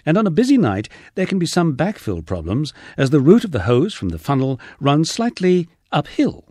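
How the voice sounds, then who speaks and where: A man narrating a first-person memoir from a script, with a husky voice and a wry storytelling manner. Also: a little high, huge pitch swings, moderate pace, warm tone, no echo, clean studio sound. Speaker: audiobook narrator.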